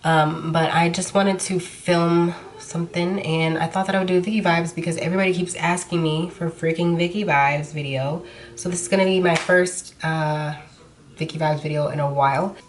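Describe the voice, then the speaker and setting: A woman's voice: lowish voice, fairly even intonation, moderate pace. A young woman vlogger with a slightly nasal voice from a recent cold, chatting frankly while she does her makeup. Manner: casual, frank, talks while applying makeup. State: nasal after being sick. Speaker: beauty vlogger. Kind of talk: chatty makeup tutorial talk.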